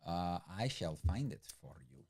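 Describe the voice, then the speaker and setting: A man's voice: sits low, measured pace. A man who speaks English as a second language, co-hosting a book club livestream and chatting casually with many "like" fillers. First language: not English. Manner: rambling, many "like" fillers, animated retelling. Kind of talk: casual chat.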